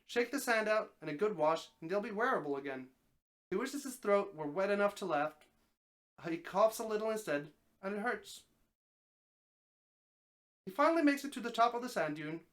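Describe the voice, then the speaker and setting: Expressive story narrator with a mid-range voice. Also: medium pitch, moderate pace, long pauses. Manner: expressive narration, occasional stumbles and repeated phrases. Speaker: streamer reading a story aloud. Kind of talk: live dramatic story reading.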